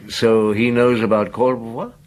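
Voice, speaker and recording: A man playing a patient, probing chief inspector in a radio drama, questioning deliberately with musing hums. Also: medium pitch, little pitch movement, close to an everyday voice. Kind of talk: radio drama acting and first-person narration.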